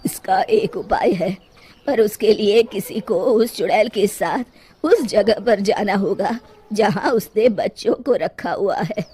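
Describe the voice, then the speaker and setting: A calm old-world holy man acted out by a woman in a cartoon folktale. The pitch is lowered and the delivery slow and measured, giving solemn counsel over faint noise. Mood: solemn, instructive.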